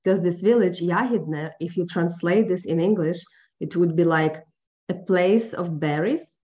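A woman with a Ukrainian accent answers interview questions in a lowish voice, pausing often to search for the right English word. The sound is muffled and lo-fi, as if coming down a phone line. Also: mellow. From eastern Ukraine. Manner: careful, searching for words with many fillers. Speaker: journalist guest. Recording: dull phone-line audio.

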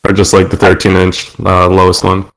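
A man's voice: sits low, monotone, normal speed, a bit bright, rough recording. A man co-hosting a casual tech podcast, easygoing and hesitant, with lots of fillers. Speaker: podcast co-host.

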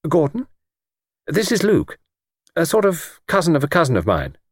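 Man voicing a poised young English woman, lifted pitch. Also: huge pitch swings, normal speed, dry recording, clean studio sound. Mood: brisk, welcoming. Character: self-possessed young English woman, brisk and gracious hostess. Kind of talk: audiobook character dialogue.

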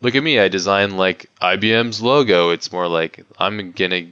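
A man's voice: low-pitched, normal speed, sharp tone, clear, resonant tone, dry recording, highs cut off. A man in his thirties hosting a podcast interview, rambling with frequent restarts and laughs. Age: 30s. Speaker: podcast host. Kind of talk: casual interview chat.

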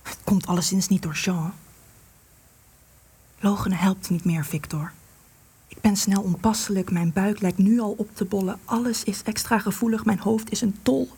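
Breathy, husky woman in hiding. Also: medium pitch, fairly even intonation, normal speed, mellow. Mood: troubled, confessing. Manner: breathy, intense, pleading. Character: anguished, guilt-ridden woman in hiding with a secret lover. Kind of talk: radio play acting.

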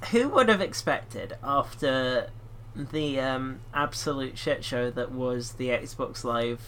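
A man's voice: medium pitch, takes it slowly, fairly bright tone, slight background noise. A man co-hosting a casual games podcast who steers the conversation along. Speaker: podcast co-host.

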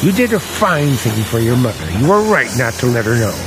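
An actor playing a charming but menacing uncle in old-time radio drama, with smooth, hesitant, genial talk that masks a threat. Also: male voice, mid-range voice, very animated, a little fast, noisy background. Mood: coldly menacing. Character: charming, menacing uncle hiding a dark secret.

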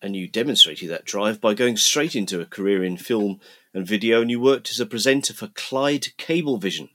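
A man interviewing a guest, offering brief acknowledgements and measured questions. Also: fairly low pitch, sharp tone.

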